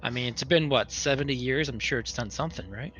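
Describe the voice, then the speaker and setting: A man with a middling pitch and a bright, forceful tone talks casually as a player in a roleplaying game. There is slight background noise. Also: fast talker, rough recording. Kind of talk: casual in-character table talk.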